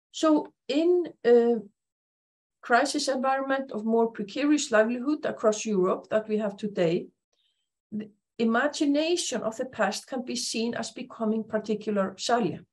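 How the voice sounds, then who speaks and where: A middle-aged woman with an Icelandic accent, presenting an academic lecture in a measured, thoughtful way with frequent pauses. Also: a little high, darkish tone. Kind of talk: recorded conference lecture over slides.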